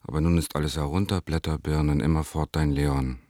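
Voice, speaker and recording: A man's voice: extremely low pitch, fairly even intonation, fairly quick, dark tone, no room sound, studio quality. An actor playing a wistful, wry lover in a radio play, reading his letters aloud with understatement.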